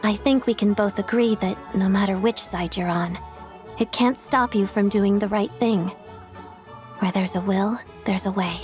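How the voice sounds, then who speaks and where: A poised young female officer from a video game, played by a woman. She is principled and efficient, speaking politely with a composed, businesslike manner at a middle pitch, over soft music. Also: normal speed, natural voice. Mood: measured, resolute. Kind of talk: English game dub dialogue.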